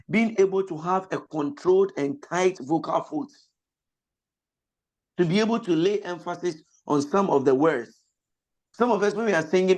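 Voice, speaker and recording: A man, a pastor and vocal lecturer, teaching an online voice class over a video call. He has a cold that roughens his voice, explains slowly, repeats his points and breaks into sung examples. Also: on the high side, a little mellow. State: has a cold, voice slightly lost.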